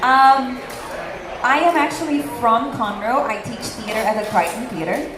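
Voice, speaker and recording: Brazilian-born panel host, woman, Portuguese native, highish voice, echoey room with background noise. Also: moderate pace, crowd noise. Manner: chatty, earnest, frequent ums. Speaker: convention panel host, theater teacher. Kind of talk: casual panel talk.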